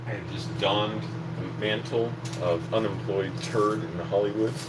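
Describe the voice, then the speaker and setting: A man in his thirties with a rough, hoarse voice, chatting casually and mocking himself while he cooks in his apartment kitchen. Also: on the low side, fairly even intonation, measured pace. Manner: self-mocking, rambling. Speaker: vlogger. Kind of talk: casual livestream chat while cooking. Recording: distant mic with loud hum.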